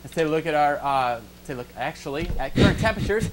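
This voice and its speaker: A man's voice: on the high side, lively intonation, quick pace. A man presenting a chatty live TV weather forecast. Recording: background noise from control room. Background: director's cues heard in control room.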